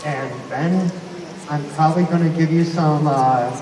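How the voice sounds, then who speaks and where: A man in the audience asking voice actors his questions politely, speaking with a slight halting. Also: a little high, background noise. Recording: distant, dull mic in an echoey hall.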